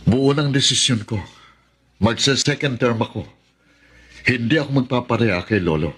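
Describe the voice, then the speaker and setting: A resolute young Filipino governor in a radio drama. His mid-range voice is rough and husky. He speaks firmly, as someone who will not back down. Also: mid-range voice, moderate pace, fairly bright tone. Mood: defiant. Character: resolute, ambitious young male governor, defiant grandson.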